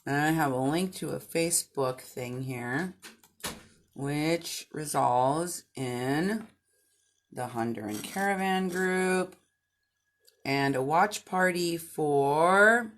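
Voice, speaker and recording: A woman hosting and interpreting into English, talking in a halting way with frequent restarts. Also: deep voice.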